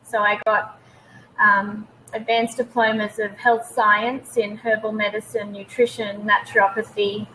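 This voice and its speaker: A woman's voice: mid-range voice, little pitch movement, measured pace, pushed and bright, a little hiss or hum, low-quality recording. An Australian-accented woman in her thirties, a guest telling her own story casually and a little hesitantly over a video call. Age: 30s. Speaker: interview guest, naturopath.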